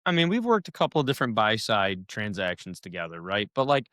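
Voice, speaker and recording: A man's voice: on the low side, very animated, fairly quick, a bit bright, dry recording, clean studio sound. A man hosting a podcast, enthusiastic and hype-style, often tagging his sentences with "right?" and "like". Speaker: podcast host, loan officer. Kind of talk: casual podcast interview.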